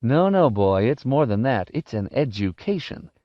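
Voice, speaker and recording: A man's voice: medium pitch, very animated, moderate pace, lightly acted. A man voicing a wiry, sinister male tempter in a storybook, hissing and chanting as he goads insistently. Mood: impatient urging. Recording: roomy, dull lo-fi audio.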